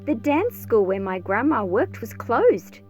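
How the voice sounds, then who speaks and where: Woman, storybook read-aloud, lively intonation, unhurried pace, expressive scripted narration. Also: fairly high pitch. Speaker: picture book reader. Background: background music.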